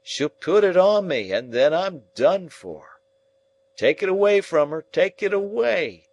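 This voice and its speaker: A man's voice: mid-range voice, expressive, unhurried, dry recording, lo-fi sound, pitched above the performer's own voice, lightly acted. A man voicing a delirious, terrified man who pleads and screams at a ghost he believes he sees. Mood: terrified raving.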